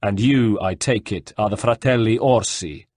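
Guy doing an earnest hero in an audiobook, plain spoken, lowish voice, dry sound. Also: moderate pace, a little mellow, no echo, close to an everyday voice. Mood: wary. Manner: simple, earnest. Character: earnest, principled young male hero. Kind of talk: audiobook character dialogue.

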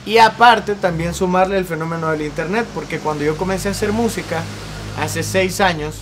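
A young man in his twenties with a Salvadoran accent, a singer telling his story at length in a relaxed interview on an outdoor patio. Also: high voice, a little fast, slight background noise. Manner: earnest, talks at length with ease. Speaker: singer and guest. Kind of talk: casual interview.

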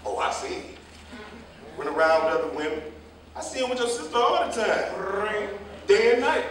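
An actor playing a meddling, moralizing older cab driver in a staged reading, with a folksy, preachy, rambling way of talking. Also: male voice, high voice, sing-song, moderate pace, fairly bright tone. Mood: insistent. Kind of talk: live staged play reading. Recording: echoey, distant stage recording with faint noise.

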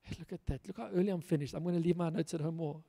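Fervent high-voiced male preacher in a hall. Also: racing, vocal fry, dark tone. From South Africa. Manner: earnest, rousing preaching with emphatic repetition. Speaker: pastor. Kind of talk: sermon. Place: church stage in a large hall. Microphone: handheld microphone. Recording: long hall reverb.

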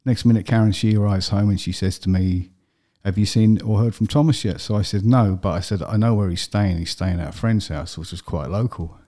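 A middle-aged man from London with a London accent, a podcast guest telling his story plainly, with steady fillers. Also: fairly low pitch, brisk. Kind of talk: personal interview. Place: podcast studio with brick wall. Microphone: studio desk mic with headphones.

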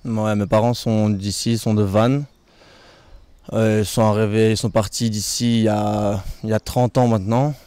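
A man's voice: fairly low pitch, little pitch movement, normal speed, warm tone, smooth, dry recording. A young male footballer from Belgium interviewed outdoors among trees, answering earnestly into a handheld microphone with frequent fillers. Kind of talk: post-match style interview. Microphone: handheld microphone held by interviewer.

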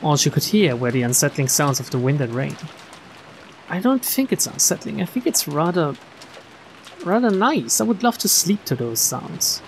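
A man reading a visual novel aloud as a streamer, with expressive narration and casual asides. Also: fairly high pitch, normal speed, vocal fry, a little hiss or hum.

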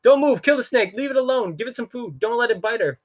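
Man doing a shrill panicking banker, babbling nonstop, storybook, very high. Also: animated, fast. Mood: panicked babbling. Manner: frantic, high-pitched, babbling. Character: panicky babbling middle-aged male banker. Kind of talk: audiobook character voice.

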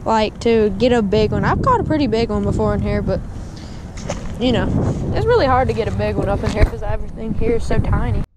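A teenage guy with a drawl rambles through his fishing trip, tossing in dude and guys. His voice sits a bit high. The action-camera audio catches wind out by the pond. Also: fairly quick. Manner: chatty and rambling, calls viewers guys and dude. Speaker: fishing vlogger. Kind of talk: casual vlog commentary. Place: outdoors by a windy pond. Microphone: body-mounted action camera. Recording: wind noise outdoors.

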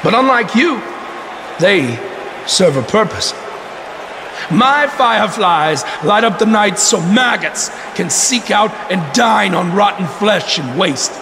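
A menacing cult-leader wrestler from a video game. His pitch swings widely in theatrical monologue with dramatic pauses, echoing through a big space over clear noise. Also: animated, a crowd in the background, heavily acted. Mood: menacing, gloating. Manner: theatrical, slow dramatic pauses, sudden shouts. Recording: echoing arena reverb, noisy background.

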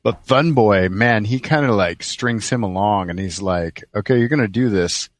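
A man's voice: lowish voice, normal speed, dry recording. A man co-hosting a podcast in a casual, conversational style. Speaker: podcast co-host.

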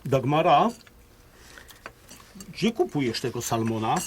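An actor playing a genial, gallant émigré businessman of about sixty in an audio drama, with a Polish highlander accent and folksy talk sprinkled with English. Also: sing-song, takes it slowly, slight background noise. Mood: jovial, flirtatious. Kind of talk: audio drama acting, conversational.

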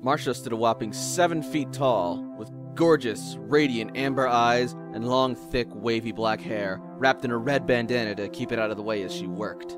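Male narrator, medium register, measured literary storytelling over a prominent music bed. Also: medium pitch.

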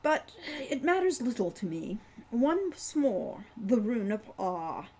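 A woman's voice: fairly high pitch, sing-song, a little mellow, slight background noise, heavily acted. Woman reading as aloof, scornful magic shopkeeper. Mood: scornful, bored. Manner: condescending, measured.

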